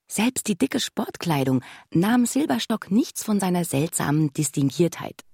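An actress playing a grown woman who recalls her girlhood in measured, literary radio drama narration. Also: lowish voice, very animated, creaky, background noise, exaggerated voice. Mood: reflective, composed. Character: adult female narrator recalling her girlhood, composed storyteller. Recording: studio radio drama with music.

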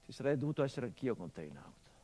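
A bitter, haunted son mourning a murdered father in a stage monologue. His voice sits at a medium pitch and carries a sharp irony. It swells into loud outbursts, then drops to low, hushed tones. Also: very animated, rapid-fire. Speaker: stage actor. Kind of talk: theatrical monologue.

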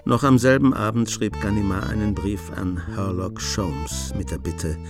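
Ruhiger Erzähler mit tiefer Stimme. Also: male voice, fairly low pitch, music in the background. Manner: calm, measured storytelling. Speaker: narrator. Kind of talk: scripted narration.

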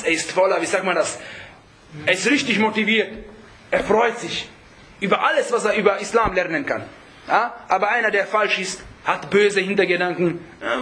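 A man's voice: high voice, sharp tone. A man preaching in an informal religious lesson, conversational and full of rhetorical check-backs to his listeners, with Arabic phrases mixed in. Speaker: Islamic preacher teaching a group.

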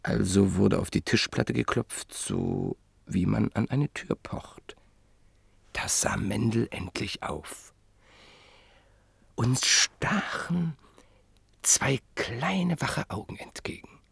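A man narrating a radio play in a measured, literary storytelling manner. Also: on the low side, very animated, creaky, husky, no room sound, whispered.

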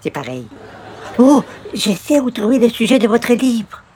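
Naive pious caller, fussy ceremonious manners, exclamatory radio comedy delivery, slight background noise. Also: male voice, extremely high pitch, very animated, unhurried, a little mellow, heavily acted. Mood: eager. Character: overly polite, pious, naive male religious door-to-door visitor. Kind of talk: old-time radio comedy acting.

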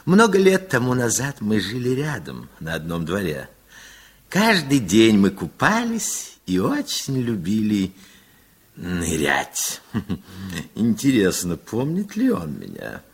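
Scheming middle-aged official, low husky voice, insinuating false sympathy, vintage radio drama. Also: male voice, huge pitch swings, heavily acted. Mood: wistful reminiscing. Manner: slow, insinuating, feigned sympathy. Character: sly senior councillor of forty, falsely kind schemer. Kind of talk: 1960s Soviet radio play acting.

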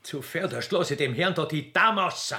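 A man plays a rustic Austrian railway-keeper father for a radio play. He stammers and repeats himself, easily flustered, in a creaky, slightly high voice with thick dialect. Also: animated, normal speed, pushed and bright. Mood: threatening.

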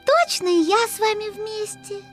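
A woman's voice: very high-pitched, normal speed, a bit bright, faint music behind. A woman playing a wistful, lonely young duckling in an audio fairy tale, plaintive and dreamy.

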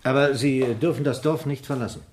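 An actor plays a male police inspector in a radio mystery. He speaks at a middling pitch with dry, sceptical authority, over faint background noise. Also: steady tone, a little fast, darkish tone, close to an everyday voice. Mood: stern. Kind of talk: radio drama acting.

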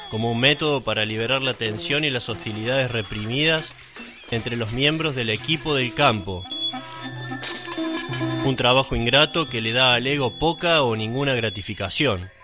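A man's voice: medium pitch, normal speed. Solemn man narrating over music. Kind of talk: scripted dramatic radio narration over music.

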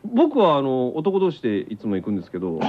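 A man's voice: mid-range voice, wildly swooping pitch, brisk. A man with a Kansai accent hosting a radio show, chatting boisterously with teasing, clowning banter. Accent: Kansai Japanese. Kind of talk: lively joking radio chat. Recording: dull lo-fi radio recording.

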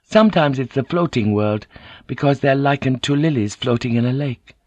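A man voicing a poised, insistent noble Japanese woman who coaxes and pleads gently. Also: mid-range voice, lively intonation, normal speed, no room sound, voice pushed up, close to an everyday voice. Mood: coaxing.

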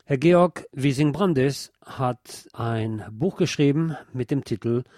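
A man's voice: mid-range voice, slow pace, darkish tone, no room sound, clean studio sound. A man reading a scripted cultural report as a radio host.

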